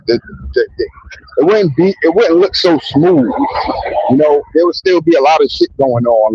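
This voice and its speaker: Husky man rambling in profane casual talk. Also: medium pitch, darkish tone, muffled recording. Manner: profane, loose, repeats "you know what I mean". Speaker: vlogger. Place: outdoors in a public area near trains. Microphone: handheld mic.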